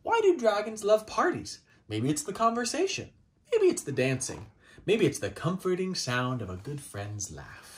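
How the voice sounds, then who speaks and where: Wildly animated man reading a storybook. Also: high voice, moderate pace, slight background noise. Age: 30s. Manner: theatrical, exaggerated, playful delivery with swoops and dramatic gasps. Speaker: storybook reader. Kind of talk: animated children's picture book read-aloud. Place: small child's room indoors.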